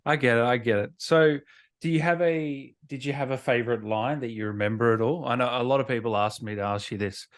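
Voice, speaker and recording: A man hosting a casual interview in an informal, chummy way, quick to agree with his guest. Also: medium pitch. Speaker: host, interviewer.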